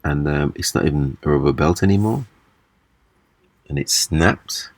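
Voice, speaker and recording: Very deep-voiced man demonstrating a repair. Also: no echo. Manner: halting, step-by-step explaining. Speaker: repair video presenter. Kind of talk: casual hands-on repair demonstration. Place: small indoor room at a table.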